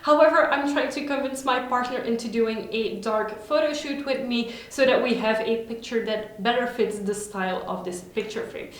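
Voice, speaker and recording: A woman's voice: fairly high pitch, normal speed, few pauses. A woman who speaks Dutch as her first language, chatting upbeat and enthusiastic in a casual vlog at home. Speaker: vlogger. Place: home room. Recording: very echoey room.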